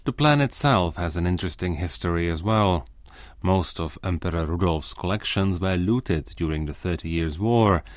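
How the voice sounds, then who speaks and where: A man reporting a scripted radio feature, narrating in a measured, even way. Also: low-pitched, no echo, clean studio sound.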